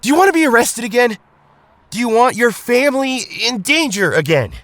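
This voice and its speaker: A man's voice: very high voice, very animated, brisk, a bit bright, no room sound, heavily acted. A man playing a stern young superhero team leader in an audio drama, reproachful and emphatic toward a friend. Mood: angry, reproachful. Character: Tommy, stern young male Power Ranger leader, disappointed friend.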